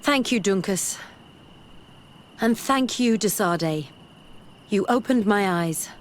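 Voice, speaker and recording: An actress playing an earnest, bookish young female scholar in a video game, speaking in a measured, articulate way. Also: medium pitch, animated, slight background noise. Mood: thoughtful, hopeful. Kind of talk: video game dialogue acting. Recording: music behind the voice.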